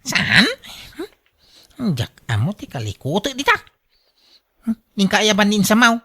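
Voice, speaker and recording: A woman's voice: mid-range voice, very animated, slow, pushed and bright, slightly rough, heavily acted. A woman with an Ilocano accent playing an angry, suspicious husband in a radio drama, scolding and exclaiming. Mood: puzzled. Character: angry, suspicious husband robbed of his buffalo.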